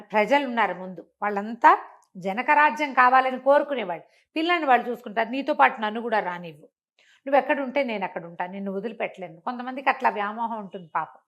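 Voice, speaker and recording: An older woman telling a moral folk tale in an interview, acting out the characters' lines as she goes. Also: a little high. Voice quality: animated, acts out the characters' lines within the telling. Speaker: storyteller.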